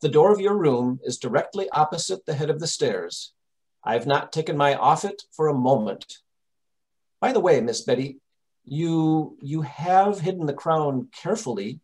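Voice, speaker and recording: A man playing a calm gentleman detective in an old-time radio drama, measured, reassuring and authoritative. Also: a little high, lightly acted.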